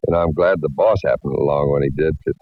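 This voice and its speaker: A man's voice: very deep voice, fairly quick, no echo. A man playing a laconic, coolly resigned cowboy killer in a 1940s radio drama, folksy and wry. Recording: dull vintage radio recording.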